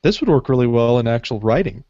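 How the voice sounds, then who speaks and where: A man's voice: mid-range voice, lively intonation, fast talker, warm tone, no echo, dull audio. A man chatting casually as a podcast co-host. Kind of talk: casual chat.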